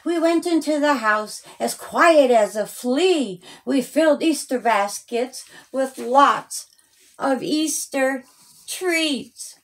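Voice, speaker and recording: High-voiced, slow-paced woman storyteller. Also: animated. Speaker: children's story time host and author. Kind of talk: children's storybook reading.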